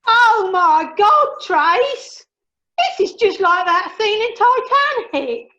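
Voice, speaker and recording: A woman playing an excitable cruise passenger in an amateur radio drama, recorded over a dull video call. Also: very high voice, slow pace, full character voice. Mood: panicked.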